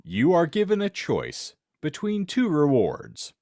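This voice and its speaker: A man's voice: on the high side, huge pitch swings, slow pace, gravelly, darkish tone, no echo. A man co-hosting a podcast with dry, teasing banter in a casual style. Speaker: podcast co-host. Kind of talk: casual banter and reading aloud a hypothetical.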